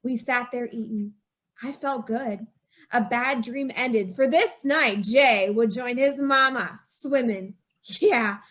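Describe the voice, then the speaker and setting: A woman narrating her own story in an old-time radio drama, in a tense, haunted voice that climbs to a frantic pitch. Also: takes it slowly, a bit bright. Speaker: narrator and lead character.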